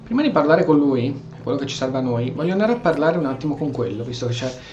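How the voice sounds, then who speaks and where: A man with an Italian accent giving casual, halting game commentary, often repeating words as he goes. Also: medium pitch, lively intonation, a little mellow, dull audio, soft background music. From Italy. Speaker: gameplay streamer. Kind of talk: casual role-play game commentary in Italian with stray English. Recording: echoey room, faint background noise.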